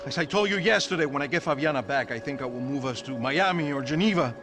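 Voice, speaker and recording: A man's voice: fairly high pitch, animated, quick pace, lightly acted. An actor playing a weary, self-pitying rich Brazilian businessman in a cinematic video game. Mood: frustrated. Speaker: wealthy businessman client. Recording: music behind the voice.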